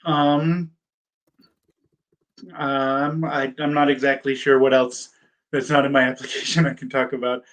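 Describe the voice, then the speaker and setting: A man's voice: medium pitch, flat delivery, measured pace, pauses often, fairly bright tone, poor audio. Hesitant man answering interview questions. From born in Germany, raised in the United States. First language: German. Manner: hesitant, many ums and repeated words. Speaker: PA school applicant.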